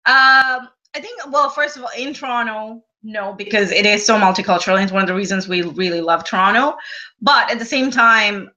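Chatty bright-voiced woman, lo-fi audio. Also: medium pitch, fairly quick. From Sri Lanka. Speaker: guest, travel blogger. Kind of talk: casual interview conversation.

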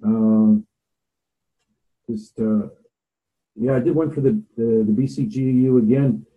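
A man's voice: mid-range voice, fairly even intonation, takes it slowly, phone-quality sound. A man who is a voiceover artist, chatting casually as a podcast guest in a rambling way with restarts.

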